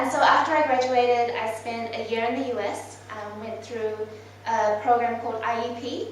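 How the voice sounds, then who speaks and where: A woman's voice: fairly high pitch, recorded from a distance, a little hiss or hum. Young woman speaking in an echoey church hall. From Malaysia, raised in New Zealand. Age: 20s. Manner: informal, with frequent ums and soft laughs. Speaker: church speaker presenting her mission work. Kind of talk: presentation talk to a church congregation. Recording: very echoey hall, recorded from afar.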